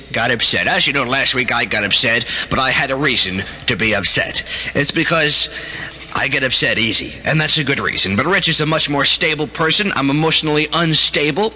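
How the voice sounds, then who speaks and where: A man's voice: mid-range voice, sharp tone. An American man hosting as a 1970s radio DJ, bantering in a cocky, theatrical, teasing way. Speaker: radio DJ and show host. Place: radio station studio. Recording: noisy 1970s radio aircheck tape.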